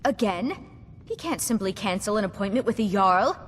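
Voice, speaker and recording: A woman's voice: animated, moderate pace, soft background music, lightly acted. An actress playing an earnest, naive and entitled young noblewoman ruler in a fantasy game, speaking formally. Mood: indignant. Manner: formal, regal. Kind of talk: fantasy game character acting. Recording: reverberant hall, faint rumbling noise.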